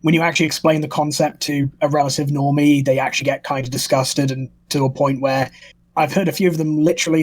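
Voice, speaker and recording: A man's voice: a little high, no echo, low-quality recording. A man talking conversationally on a casual political discussion panel.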